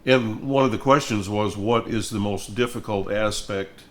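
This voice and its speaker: An older man speaks in a fairly low voice. His talk is conversational and reflective. He comes across as a plainspoken, thoughtful storyteller. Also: normal speed. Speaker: music minister and host.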